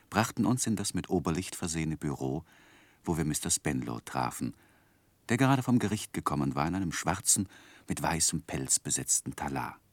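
Polite young Victorian gentleman narrator, very deep and animated, earnest radio-play storytelling, dry studio sound. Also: no echo, heavily acted. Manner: measured, literary, earnest. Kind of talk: 1960s German radio drama, literary narration.

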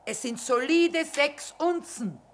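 A woman's voice: high-pitched, animated, heavily acted. An actress playing a shrewd, earthy trader and mother of grown children in a theatrical radio play. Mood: businesslike. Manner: earthy, sardonic, quick-witted haggling. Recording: noisy radio play recording with ambience.

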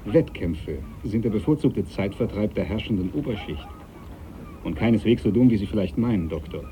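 A man's voice: fairly low pitch, expressive, moderate pace, dark tone, natural voice. An actor playing a proud, devout, domineering sea captain in a German radio play, speaking formally and needling those he addresses. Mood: probing, assured. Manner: formal, commanding, needling, cuts others off. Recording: dull, noisy 1980s radio recording.

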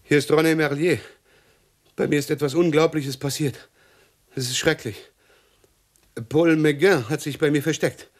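An actor playing a weary, talkative, suspicious husband in a 1960s radio crime play. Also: male voice, a little high, fairly even intonation, normal speed, long pauses, lightly acted. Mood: agitated, shaken.

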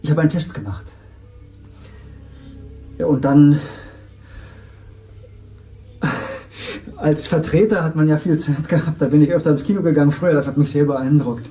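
A man's voice: fairly high pitch, fairly even intonation, brisk, warm tone, lo-fi sound, lightly acted. A man playing an eager actor-turned-minister. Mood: reminiscing. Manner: earnest, self-assured, explaining. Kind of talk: 1970s radio drama acting. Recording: roomy distant radio play with music.

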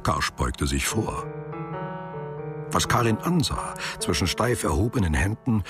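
A man narrating a literary radio drama as a sober, detached storyteller, with a deep and very husky voice. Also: sing-song, unhurried, music in the background.

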